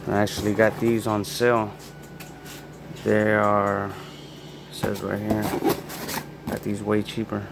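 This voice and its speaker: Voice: low-pitched, monotone, slow pace, creaky, background noise. A person narrating an unboxing in a casual, laid-back, informal way. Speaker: unboxing video host. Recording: loud music behind the voice.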